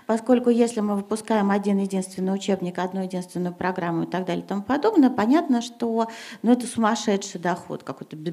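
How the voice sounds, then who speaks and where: Hesitant woman teacher with a mellow voice. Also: a little high. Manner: hesitant, many fillers, rising to emphatic. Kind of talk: panel discussion. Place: gymnasium hall in front of an audience.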